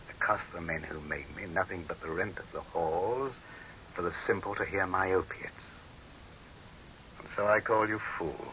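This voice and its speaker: An actor playing a proud, scornful dictator facing death in a 1930s radio horror drama, with a commanding, oratorical delivery on a hissy old recording. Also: male voice, low voice, sharp tone, highs cut off, heavily acted.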